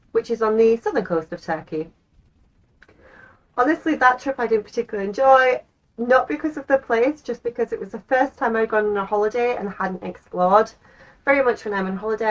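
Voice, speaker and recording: A woman's voice: mid-range voice, low-quality recording. A woman in her thirties with an English accent, chatting to camera as the host of her own channel, rambling a little with frequent ums. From England. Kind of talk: casual talk to camera.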